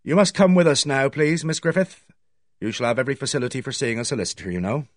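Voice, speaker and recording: A polite but firm police officer from a detective novel, read by a male narrator. He speaks formally and with measured care, at a middling pitch, in a dry studio sound. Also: no room sound, lightly acted. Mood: regretful. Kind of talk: audiobook character voice.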